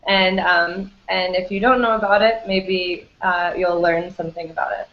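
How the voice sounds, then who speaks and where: A woman's voice: fairly low pitch, fairly even intonation, moderate pace. A woman teaching an English class online, friendly and full of ums and you-knows. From United States. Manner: friendly, many fillers like um and you know. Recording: echoey, dull lo-fi audio.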